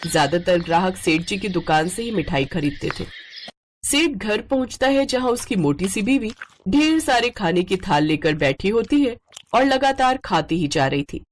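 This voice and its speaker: A woman narrating a children's moral story in an expressive scripted storytelling style. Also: medium pitch, normal speed, slight background noise.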